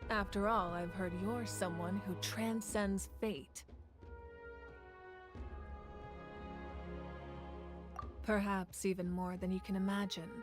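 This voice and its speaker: A solemn, commanding female ruler in a video game cutscene, acted by a woman. The mid-pitched voice is measured and formal, gracious yet authoritative, with clear music behind it. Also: little pitch movement, close to an everyday voice. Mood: warm, welcoming.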